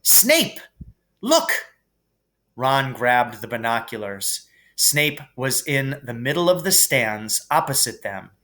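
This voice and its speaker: A man reading a children's novel aloud as expressive narration. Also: mid-range voice, a little slow. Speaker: reader.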